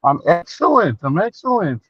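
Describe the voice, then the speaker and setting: A man's voice: sits high, very animated, normal speed, husky, no echo, dull audio. A man joining a live stream as a guest, giving short, easygoing replies. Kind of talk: casual live-stream chat.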